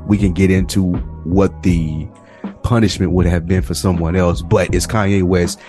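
A man with an American accent and a fairly low voice holds forth in a casual podcast discussion. He is long-winded and emphatic, often repeating a phrase for weight. Music plays behind him. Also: fairly even intonation, normal speed, warm tone. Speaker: podcast co-host.

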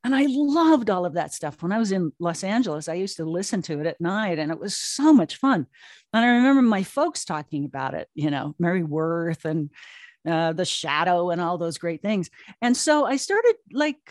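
A woman's voice: medium pitch, darkish tone, dry recording. Chatty older woman, close dry sound. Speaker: guest, audio drama producer and creative officer. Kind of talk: conversational interview.

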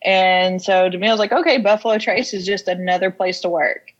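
A young woman's mid-pitched voice with a Kentucky accent, a little muffled by lo-fi recording. She talks as an interview guest, telling her own story in a plain-spoken, unpretentious, conversational way. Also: brisk. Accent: American, Kentucky. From Casey County, Kentucky, United States. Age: young adult. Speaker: interview guest, whiskey industry professional.

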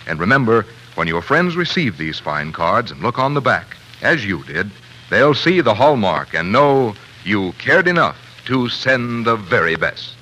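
Male 1940s radio pitchman: emphatic and polished, very expressive intonation, crisp forward tone, old slightly noisy broadcast. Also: measured pace. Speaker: commercial announcer. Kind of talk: scripted 1940s radio advertisement.

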